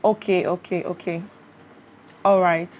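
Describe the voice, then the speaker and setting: A woman's voice: on the low side, flat delivery, measured pace, darkish tone. A woman hosting a casual live stream from her phone, greeting viewers by name and checking that they can hear her. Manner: greets viewers by name and keeps asking if she can be heard. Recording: noisy phone audio with a fan running. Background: fan noise.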